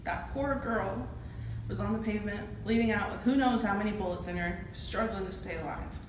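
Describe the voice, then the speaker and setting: A woman's voice: mid-range voice, moderate pace, close to an everyday voice. A woman acting a shaken, plain-spoken campus safety officer testifying in an amateur courtroom drama. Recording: echoey, distant room with clear noise.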